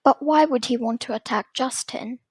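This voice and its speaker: A woman playing a doubtful, fair-minded young schoolgirl in an audio drama, questioning in a creaky, husky voice. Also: on the high side, moderate pace, a little mellow, dry recording, studio quality. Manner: questioning, hesitant. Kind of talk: audio drama character acting.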